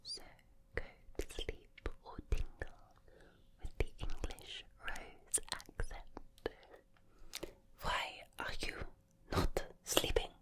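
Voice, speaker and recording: A woman speaks in a breathy whisper for ASMR. Her delivery is very slow and deliberate, with a soothing manner and a swooping, expressive pitch. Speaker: ASMR creator.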